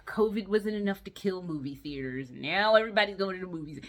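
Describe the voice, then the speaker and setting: A woman's voice: on the low side, animated, fairly quick. A tired woman giving a casual, rambling review in a vlog, blunt and freely profane as she talks. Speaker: video game and movie vlogger.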